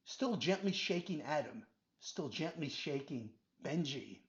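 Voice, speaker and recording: A man doing a calm kindly doctor. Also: on the high side, unhurried. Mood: gentle. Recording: dull lo-fi recording.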